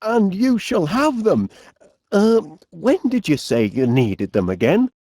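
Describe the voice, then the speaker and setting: A bumbly northern english inventor bloke for a cartoony game, polite n flustered, slow talker, pitch goes all over. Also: male voice, big pitch swings, a little mellow, no room sound, clean studio sound, full character voice. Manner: hesitant, stammering politeness with quaint exclamations. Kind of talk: animated comedy game voice acting.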